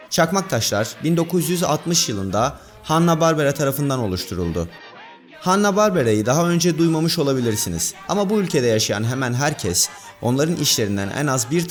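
Male narrator, mid-pitched and expressive, for a scripted list video with a music bed. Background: background music.

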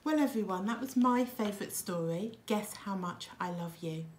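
A woman, reading a picture book to young children in an expressive, clearly phrased way. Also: medium pitch, moderate pace, a little hiss or hum. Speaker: school staff member reading a story. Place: school classrooms and office, indoors.

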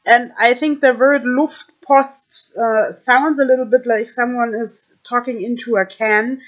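A young woman with a German accent, speaking English to her webcam in a halting, thoughtful way with many fillers. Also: on the high side, fairly even intonation. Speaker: vlogger. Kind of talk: casual talk to camera. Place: small room indoors. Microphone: webcam at desk. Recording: dull lo-fi webcam audio.

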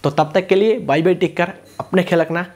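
A man's voice: high voice, steady tone, quick pace, a little mellow. A man, hosting a tech tutorial and explaining step by step in a repetitive way. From India. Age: 20s. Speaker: YouTube tech and gaming tutorial host. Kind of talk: scripted tutorial to camera. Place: small indoor room. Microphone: lapel mic.

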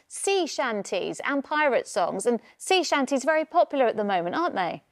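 A woman's voice: high voice, sing-song, normal speed, no echo. A woman in her forties with a British accent, presenting and interviewing on broadcast news from a studio. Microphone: lapel mic.

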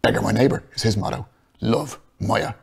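A man reading aloud and voicing a gruff, scornful older pub patriot with a sneering, dismissive manner. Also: lowish voice, steady tone, moderate pace, heavily acted.

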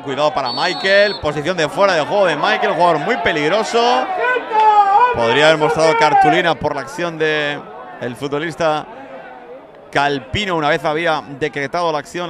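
A man with a Spanish accent from Spain giving live play-by-play football commentary. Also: a little high. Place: outdoor football ground. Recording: outdoor stadium crowd noise behind. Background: crowd noise.

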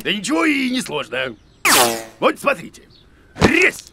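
High, wildly swooping cartoon grump. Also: male voice, wildly swooping pitch, a little slow, creaky, heavy background noise, exaggerated voice. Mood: grumpy, blustering. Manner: blustering, muttering, self-assured. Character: grumpy comic bearded adult male cartoon teacher creature, bumbling know-it-all. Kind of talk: animated children's cartoon acting.

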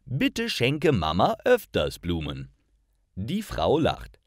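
A man narrating a scripted story for language learners in careful, clearly separated phrases. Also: medium pitch, wildly swooping pitch, takes it slowly, no echo, studio quality. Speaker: narrator of stories for German learners.

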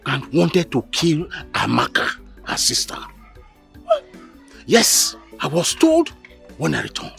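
A man playing a grave, gravelly father. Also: fairly high pitch, huge pitch swings, slow pace, pauses often, fairly bright tone, soft background music, full character voice. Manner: grave, urgent. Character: worried middle-aged father warning a landlord.

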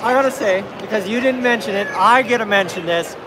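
Male sports fan, 30s, high voice, post-game commentary, noisy arena phone recording. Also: brisk. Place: busy arena entrance concourse at night. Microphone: phone at arm's length. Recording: loud urban crowd and traffic noise.

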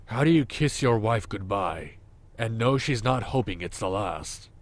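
An actor playing a crude, sarcastic, unhappily married boss in an audio drama, with deadpan delivery. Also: male voice, on the low side, unhurried. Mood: glum.

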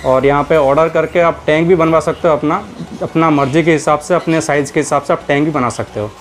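A man's voice: fairly high pitch, fairly even intonation, brisk. A man, casually narrating a walk through an aquarium and pet shop, chatting to viewers as he points out stock and prices. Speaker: aquarium hobbyist vlogger.

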